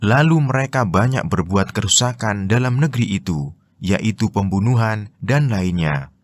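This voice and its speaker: A man's voice: lowish voice, expressive, moderate pace. A man with an Indonesian accent reading religious commentary as formal scripted narration.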